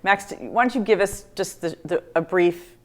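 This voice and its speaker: A woman's voice: on the low side, moderate pace, a little mellow. Gracious woman hosting an interview. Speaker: interviewer, editor. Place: film festival studio.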